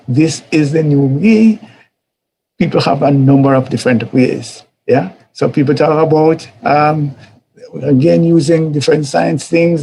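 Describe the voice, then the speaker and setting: A man with a Jamaican accent teaches a church group in a loose, conversational way, repeating key words and slipping into little quoted voices. His voice sits a bit high and has a gravelly edge. A faint hum of room ambience hangs behind him. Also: dark tone, poor audio. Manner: conversational, repetitive, chuckles, acts out quoted voices. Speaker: teacher of a church life series. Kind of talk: informal teaching talk.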